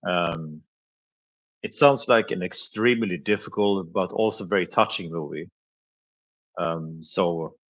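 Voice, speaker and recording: A man with a deep, low-pitched voice talks casually to viewers on a live stream. He speaks haltingly, filling gaps with small sounds and quiet chuckles. The recording sounds dull and slightly muffled. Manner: hesitant, many filler sounds, chuckles often. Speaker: live streamer reviewing flea market finds.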